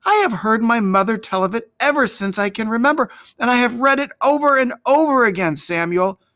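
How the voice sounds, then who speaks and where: A man's voice: mid-range voice, huge pitch swings, slow, sharp tone, no echo, clean studio sound, exaggerated voice. A man voicing an eager, hot-headed young shepherd boy in an earnest, dreamy storybook manner.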